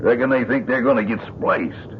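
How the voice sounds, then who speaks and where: An actor playing a big, rough, sly lumberjack bully with a gravelly voice and a rustic drawl in an old radio drama. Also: male voice, mid-range voice, normal speed, natural voice. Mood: sly, casual. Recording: dull, noisy old radio recording.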